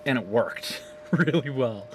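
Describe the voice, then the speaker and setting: A man talks into his phone camera at home in a loose, rambling way, recapping a game's story with plenty of swearing and laughter. His voice sits at an ordinary middle pitch. A faint hum runs underneath. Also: slow. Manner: animated, profane, laughs often. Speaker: gaming video creator.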